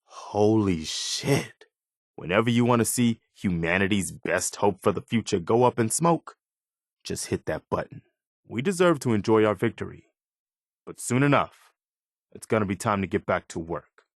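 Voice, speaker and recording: Earnest, low-voiced militia soldier. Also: male voice, expressive, normal speed, no echo, studio quality. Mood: urgent. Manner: sincere, plain-spoken. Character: earnest, principled male militia soldier, loyal and idealistic. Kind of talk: video game companion voice lines.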